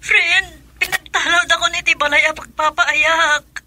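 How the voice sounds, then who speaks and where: A woman's voice: very high-pitched, steady tone, unhurried, sharp tone, no echo, full character voice. A woman playing a distressed, tearful wife and mother working abroad in an Ilocano radio drama. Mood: troubled, despairing. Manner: tearful, pleading.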